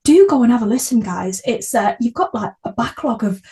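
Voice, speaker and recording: Higher-pitched woman hosting a casual podcast. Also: animated, a little fast, warm tone. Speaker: podcast host. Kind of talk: casual interview chat.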